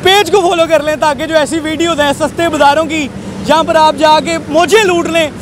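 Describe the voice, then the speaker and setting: Young punjabi guy vlogging a street market, really high voice, salesy and hyped, noisy crowd around. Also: a little fast. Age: 20s. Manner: energetic, salesman-like, repetitive. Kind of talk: street market presenting to camera. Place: outdoor roadside market. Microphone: handheld recorder held at chest. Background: crowd and passing vehicles.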